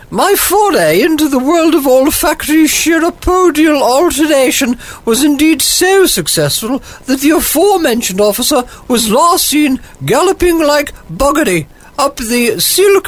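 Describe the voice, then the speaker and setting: Radio comedy: grand, boozy upper-class English agony aunt, high-pitched, imperious, theatrical. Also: female voice. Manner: pompous, theatrical, brisk, commanding. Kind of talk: 1940s-set radio comedy acting.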